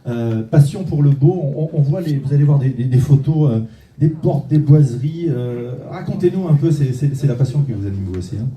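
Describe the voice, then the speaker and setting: A man's voice: mid-range voice, lively intonation, a little fast, mellow, a little hiss or hum. A man hosting an awards ceremony on stage in a large hall, chatty and jokey, with loose phrasing and frequent restarts. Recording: echoey hall, mic at a distance, faint music.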